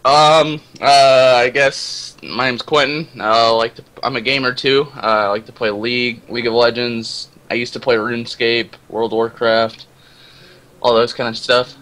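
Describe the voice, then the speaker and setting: A man's voice: mid-range voice, fairly even intonation, moderate pace, a bit bright, poor audio. A man calling into a live show, chatting casually in a laid-back, slightly hesitant way. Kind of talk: casual chat.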